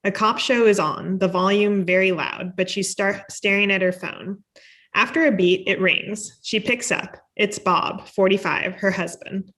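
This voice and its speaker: A woman's voice: mid-range voice, steady tone, a little slow, a bit bright. A woman reading the stage directions of a screenplay at a table read, as scripted narration.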